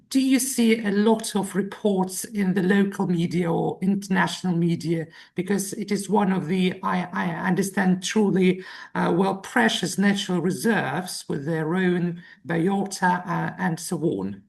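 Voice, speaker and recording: A woman's voice: on the low side, measured pace, gravelly, a little mellow. A young woman asking a lecturer a formal, polite question. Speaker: audience member asking a question.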